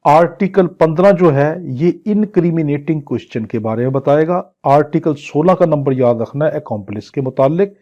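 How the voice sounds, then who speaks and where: A soft, mellow man's voice with a Pakistani accent, pitched a little high. He lectures like an exam coach, repeating points didactically and switching into English terms. The recording is somewhat dull and lo-fi. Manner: didactic, repetitive, drilling points. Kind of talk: instructional lecture with English legal terms mixed in. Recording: dull, muffled lo-fi audio.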